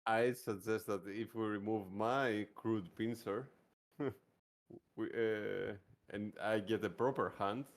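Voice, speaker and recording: Slow gravelly man on a noisy mic. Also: fairly low pitch, steady tone. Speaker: player in a tabletop roleplaying game. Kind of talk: casual in-game table talk. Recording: clearly noisy microphone with room ambience.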